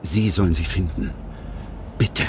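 An actor playing a desperate brain surgeon and father in a radio crime drama, speaking in halting, insistent phrases. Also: deep voice, noisy background. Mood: grim, desperate. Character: grey-faced brain surgeon, panicked father of kidnapped girl.

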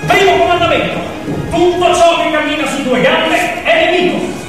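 Male actor as fervent revolutionary farm leader, very high, declamatory, echoing hall, distant. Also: normal speed. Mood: proclaiming, fervent. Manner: declamatory, emphatic. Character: revolutionary farm leader proclaiming solemn commandments. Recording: echoey, distant reverberant hall with music.

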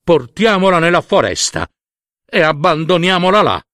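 A heartless husband from a storybook played by a male narrator speaks coldly and curtly. His pitch moves sharply for emphasis, and the sound is dry. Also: very animated, normal speed, fairly bright tone, dry recording. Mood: scheming, ruthless. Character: cruel, callous husband refusing strangers.

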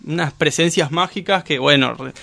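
Casting: Argentine man, higher-pitched with noticeable vocal fry, halting conversational radio guest, dry close sound. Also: bright, forceful tone, dry recording, faint music behind. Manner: halting, self-correcting, explanatory, often checks with "¿no?". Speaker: guest discussing comics and manga. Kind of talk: casual radio interview discussion.

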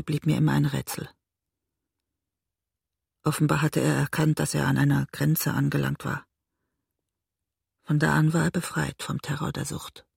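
An actress playing a weary, emotionally raw middle-aged woman in a radio drama, intimate and restrained, with a husky, breathy voice. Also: sits low, darkish tone, no echo, clean studio sound, full character voice. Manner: intimate, restrained, literary narrating. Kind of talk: radio drama narration and dialogue.

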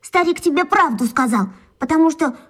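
An excitable schoolgirl. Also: sits high, normal speed. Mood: explaining. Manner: excited, chattering, childlike. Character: lively inquisitive schoolgirl Katya, tenderhearted. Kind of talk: children's radio play acting.

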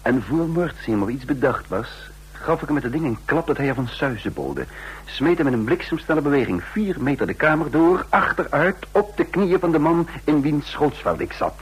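A man's voice: on the high side, expressive, moderate pace, slightly rough, low-quality recording. An actor playing a defiant trained fighter who recounts his own escape in a radio drama, with a sardonic edge. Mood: urgent recounting. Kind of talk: radio drama, first-person narration and dialogue.

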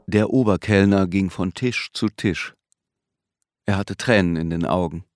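A man's voice: sits low, measured pace. A man acting as detached narrator of a literary radio play, reading soberly. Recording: music playing behind the voice. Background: music.

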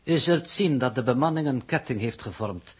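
An actor playing a pedantic, formal alien coordinator who reports in stilted, ponderous phrases in a 1960s radio drama. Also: male voice, medium pitch, lively intonation, moderate pace, slightly rough. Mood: stiffly formal.

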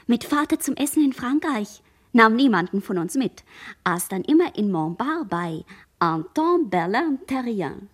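An actress playing a dazed girl witness in a radio play, speaking a fragmented, incantatory monologue that often fades into whispering. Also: high-pitched. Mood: dreamy, insistent.

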